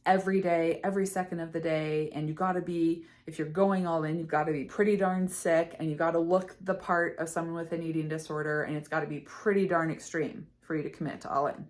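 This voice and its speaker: A woman recovery coach talking casually to camera, her voice slightly hoarse as she loses it, earnest and full of fillers and restarts. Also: deep voice, steady tone, a little fast, few pauses. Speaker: eating disorder recovery coach.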